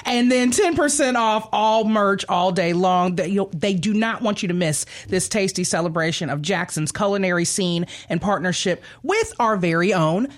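A woman hosting casual radio chat, playful, chatty and quick to laugh. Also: medium pitch. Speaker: radio show host.

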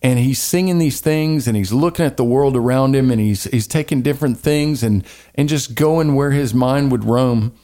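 Podcast co-host, male, mid pitch, faint Southern twang, earnest and rambling. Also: moderate pace, warm tone. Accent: light Southern American. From American South. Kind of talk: casual chat and spoken prayer.

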